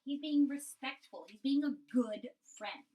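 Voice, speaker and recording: A woman's voice: high voice, measured pace, dark tone, far from the mic, lo-fi sound. A woman teacher giving a reading lesson for young children, explaining things and often checking with a questioning tag. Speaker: elementary school teacher.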